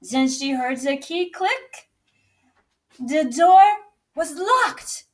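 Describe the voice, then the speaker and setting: A woman reading a children's story aloud in an expressive, theatrical, deliberate way. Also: high-pitched. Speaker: English teacher and drama director reading aloud.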